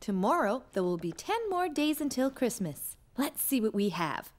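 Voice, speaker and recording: Lively, encouraging cartoon mom. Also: female voice, fairly high pitch, moderate pace, soft background music. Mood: patient, bright. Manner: patient, explanatory, encouraging. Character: gentle, encouraging young mother of small children. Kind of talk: preschool cartoon voice acting.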